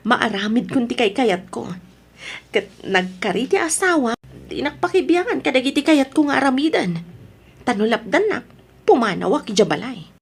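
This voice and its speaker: Actress as high, husky Ilocano wife in love. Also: female voice. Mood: confiding. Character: married woman in love with another man, stubborn, pleading. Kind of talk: Ilocano radio drama acting.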